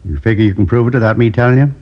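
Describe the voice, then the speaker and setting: Man acting as lonely old drifter, 70s, slow wistful rambling confession, low voice, dull vintage radio audio. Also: lightly acted. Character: lonely old male drifter turned robber. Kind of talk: 1950s radio drama acting.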